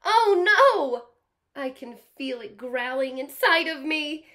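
Woman voicing an excitable, dramatic storybook crocodile, high-pitched. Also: full character voice. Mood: alarmed, panicking. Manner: dramatic, exclaiming, drawn-out words. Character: excitable, panicky young male crocodile who loves watermelon. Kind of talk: children's storybook read-aloud.

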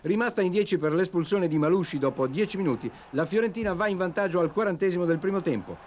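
A man's voice: high-pitched, quick pace, a little mellow. A man narrating a scripted football match report as a television sports reporter. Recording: TV broadcast with faint stadium noise.

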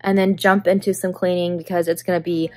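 A woman's voice: lowish voice, little pitch movement, a little fast, darkish tone. A young woman chatting to the camera in a casual vlog, filler-heavy and laughing now and then, seated in her living room. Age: young adult. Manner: chatty, lots of "like", laughing asides. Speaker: book vlogger.

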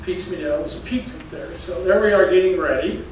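A man's voice: high voice, a little fast. An older man giving a storytelling slide talk as a professor, folksy and anecdotal, chuckling between stories. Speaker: geology professor giving a public lecture. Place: darkened lecture hall with audience. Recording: very echoey hall, distant mic, faint noise.

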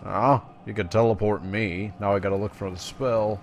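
A man's voice: fairly low pitch, steady tone, normal speed, highs cut off, a little hiss or hum. A man doing casual, jokey game commentary as a video host, at times reading game text aloud. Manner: jokey, laid-back.

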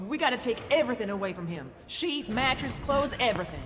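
A man's voice: extremely high pitch, lively intonation, fast talker. An actor playing a harsh, cold-hearted male jail guard in an audio drama, curt and commanding. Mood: alarmed. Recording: noisy echoing hall.

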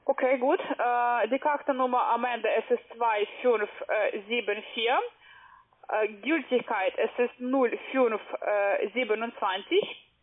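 A woman working as a call-center caller, speaking halting, broken German with many hesitant fillers. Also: fairly high pitch. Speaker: phone scam caller. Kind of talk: phone call. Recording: narrow phone line.

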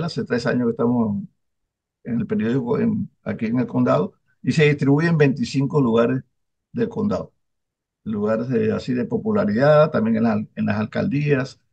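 An older man hosts the interview with a gravelly, husky voice. His speech is halting and full of fillers. The video call audio comes through dull and lo-fi. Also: mid-range voice, darkish tone. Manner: halting, many restarts and fillers. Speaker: interviewer, newspaper publisher. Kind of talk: casual interview chat.

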